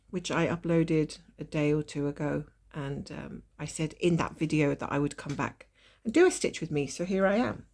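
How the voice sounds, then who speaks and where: A woman chatting casually as she stitches at her desk, her voice a little nasal and hoarse from a lingering cold, with soft laughs and apologetic asides. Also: lowish voice. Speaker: cross-stitch vlogger. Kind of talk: casual stitch-along chat. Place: at a desk indoors.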